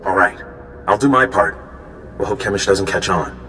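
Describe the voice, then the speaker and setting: Earnest idealistic rebel mole, friendly, lowish voice. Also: male voice, moderate pace, pushed and bright, faint music behind. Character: idealistic young male resistance mole.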